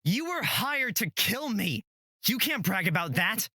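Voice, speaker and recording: Man acting earnest, expressive young fantasy hero. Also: high voice, a little slow, husky, no echo, clean studio sound, heavily acted. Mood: exasperated. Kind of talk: visual novel voice acting.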